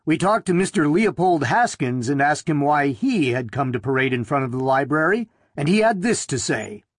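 Male reader doing polite TV news announcer, formal, lively, husky. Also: fairly high pitch, moderate pace, no room sound, studio quality. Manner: formal, courteous interviewer.

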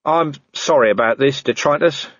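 A man's voice: medium pitch, moderate pace, a bit bright, no room sound, highs cut off, pitched above the performer's own voice, lightly acted. A man voicing a weary middle-aged guard commander with a dry, sardonic manner. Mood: wry.

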